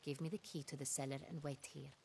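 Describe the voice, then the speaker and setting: An actress playing a regal, commanding duchess in a fantasy game, speaking formally and with authority. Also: female voice, low voice, fairly even intonation, fast, gravelly, warm tone, slight background noise. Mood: stern, imperious. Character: imperious, commanding young duchess, regal and sharp-tempered. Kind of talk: video game voice acting.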